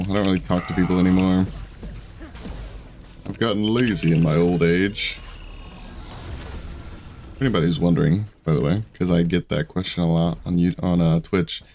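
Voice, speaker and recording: A man in his twenties giving casual, chatty gameplay commentary from his room, self-deprecating and quick to laugh. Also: deep voice, steady tone, a little mellow, slight background noise. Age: 20s. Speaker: gaming streamer. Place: home room at a desk.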